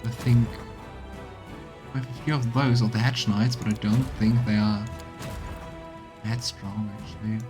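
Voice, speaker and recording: A man's voice: lowish voice, steady tone, unhurried, pauses often, over music. A man giving casual let's play commentary on a video game, musing aloud and trailing off as he goes. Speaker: streamer playing a video game.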